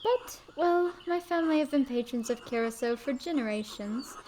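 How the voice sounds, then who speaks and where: A woman's voice: sits high, lively intonation, normal speed, warm tone, slight background noise. A woman reading aloud the lines of a courteous noble male patron in her own voice.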